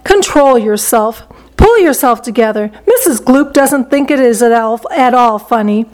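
A woman voicing an eccentric gentleman chocolate maker in a children's story, blithe, mischievous and briskly theatrical. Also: a little high, lively intonation, lightly acted. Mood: brisk, commanding.

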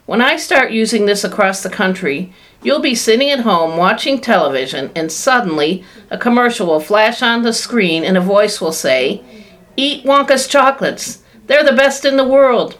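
A woman reading aloud, voicing an excitable, eccentric male chocolate maker who gives loud, commanding exclamations. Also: high-pitched, a little slow, pitched above the performer's own voice, exaggerated voice. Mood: excited.

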